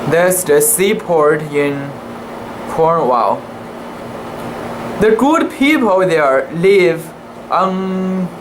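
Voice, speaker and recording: An amateur reader giving a dramatic reading of a classic sea story, in a halting, deliberate way with occasional stumbles over words. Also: mid-range voice. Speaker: amateur reader making videos. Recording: noisy room with steady background hum.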